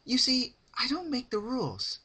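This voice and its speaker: Man putting on a high-pitched, gleefully cruel girly cartoon pony. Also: huge pitch swings, moderate pace, bright, forceful tone, breathy edge, exaggerated voice. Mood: cheerful, gloating. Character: gleeful, sing-song female party pony, cruel and cheery. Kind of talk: fan-fiction dramatic reading.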